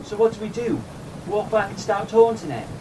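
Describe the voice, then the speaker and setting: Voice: mid-range voice, expressive, normal speed, close to an everyday voice. An actor playing a shaken but curious adventuring companion in a fan audio drama, hesitant and questioning. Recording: distant mic, stormy background noise.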